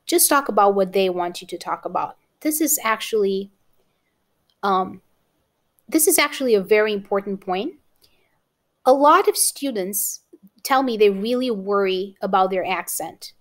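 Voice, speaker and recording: A woman's voice: medium pitch, expressive, moderate pace. A woman with a Romanian accent teaching an exam-prep lesson to camera, encouraging and often checking in with "okay?". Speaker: English teacher.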